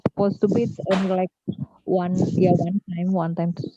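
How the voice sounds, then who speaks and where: A woman's voice: on the low side, flat delivery, normal speed, dark tone. A woman, a participant in an online class, speaking hesitantly and repeating herself. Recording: dry tinny phone-like audio.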